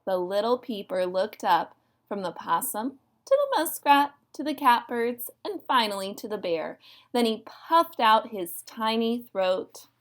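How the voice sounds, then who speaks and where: A woman narrating a children's story in an expressive read-aloud style. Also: on the high side, measured pace.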